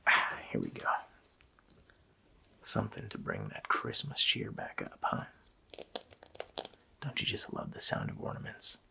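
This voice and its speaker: A man voicing a gloating, child-eating Christmas demon in a gravelly, breathy whisper, drawling casually and menacingly in an ASMR roleplay. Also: a bass voice, huge pitch swings, a little slow, pauses often, bright, forceful tone, a little hiss or hum, heavily acted. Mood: ghoulish, playful.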